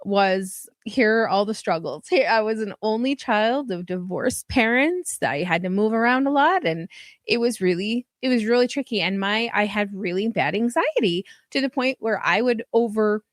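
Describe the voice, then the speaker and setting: A middle-aged woman hosting a podcast in a mid-pitched voice. She affirms her guest readily and tells her own stories in a chatty way, laughing often. The recording is close and dry. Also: lively intonation, normal speed, dry recording. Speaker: podcast host. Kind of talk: casual interview conversation.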